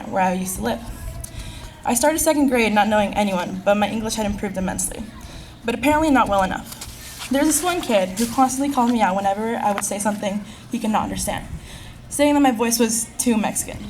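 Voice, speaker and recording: A teenage girl, a high school senior, earnestly delivering a prepared personal speech at a school event. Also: a little mellow, faint music behind, low-quality recording. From California. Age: teens. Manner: earnest, reading from a script at a quick clip.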